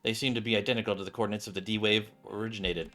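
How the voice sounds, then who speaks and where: A man's voice: on the low side, steady tone, rapid-fire, bright, forceful tone. A man streaming a video game, reading its story dialogue aloud and now and then stumbling and rereading. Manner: stumbles over words and rereads. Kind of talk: gaming playthrough, dramatic read-aloud of story text. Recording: game music behind. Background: video game music.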